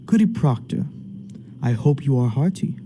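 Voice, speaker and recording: A man's voice: medium pitch, expressive, moderate pace, dark tone, slight background noise, natural voice. A man playing a stern, imperious judge in a radio play, speaking in formal, archaic period language with command. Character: stern, unbending male judge, imperious and impatient. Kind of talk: period stage drama acting in a radio play.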